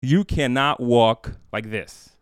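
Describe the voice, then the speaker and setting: Podcast co-host, male, deep smooth voice, chatty storyteller, dry close mic. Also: takes it slowly, no room sound. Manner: storytelling, animated, lots of fillers. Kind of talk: casual faith podcast chat.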